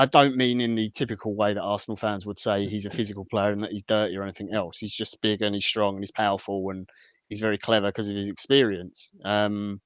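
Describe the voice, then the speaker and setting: Guy hosting a live footy podcast, kinda rambly and jokey, lowish voice, audio a bit muffled. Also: no room sound. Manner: rambling and jokey, many restarts. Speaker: podcast host. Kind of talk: casual live-stream football chat.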